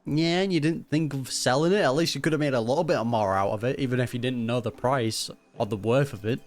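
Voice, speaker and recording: A man's voice: medium pitch, fast talker, soft background music. A man giving casual, slangy, joking commentary while reacting to a video game. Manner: joking, slangy, laughs easily.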